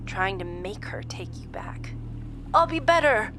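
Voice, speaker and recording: Taunting, sinister actress as supernatural woman, theatrically animated. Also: medium pitch, unhurried, slight background noise. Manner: mocking, theatrical, breaking into chuckles and sighs. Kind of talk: horror audio drama acting.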